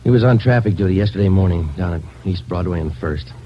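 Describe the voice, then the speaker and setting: An actor playing a terse, businesslike police detective sergeant in a 1940s radio drama, clipped and deadpan. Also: male voice, low-pitched, fast talker, a little hiss or hum, natural voice. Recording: dull vintage radio recording with music.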